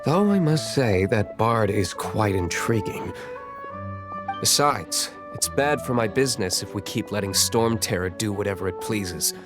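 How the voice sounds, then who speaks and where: An actor playing an aloof, brooding young wine merchant in a video game, cool, reserved and dry. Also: male voice, lowish voice, expressive, unhurried. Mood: guarded. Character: aloof, brooding young wealthy winery master. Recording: music behind the voice.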